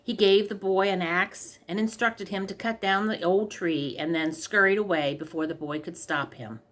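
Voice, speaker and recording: A woman's voice: medium pitch, normal speed, fairly bright tone. A woman reading a scripted comic fairy tale aloud as a storyteller. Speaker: story reader.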